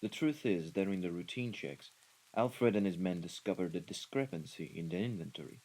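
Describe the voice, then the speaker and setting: Man acting a deep-voiced mafia underling. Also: fairly quick, a little mellow, poor audio. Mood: deferential, explaining. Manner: formal, careful, explanatory. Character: deferential male mafia underling reporting to his boss. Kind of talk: scripted audio roleplay drama.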